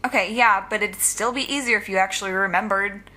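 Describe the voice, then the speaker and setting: A woman acting in an audio drama as a wry, cautious young female villain who plans briskly, with a dry, sarcastic manner. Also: mid-range voice, fairly even intonation, bright, forceful tone, lightly acted. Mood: hurried, focused.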